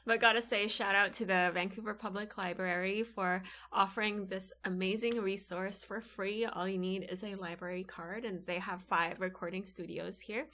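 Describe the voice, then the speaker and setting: A woman's voice: medium pitch, fairly even intonation, normal speed, few pauses, sharp tone. A woman from the Philippines co-hosting a casual podcast chat in a library recording studio, earnest and encouraging. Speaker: podcast co-host.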